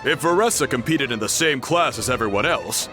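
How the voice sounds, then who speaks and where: A man's voice: mid-range voice, expressive, a little fast, over music, natural voice. An actor playing a jovial man hosting an eating contest in a video game, with a hearty storytelling manner. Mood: amused, explaining.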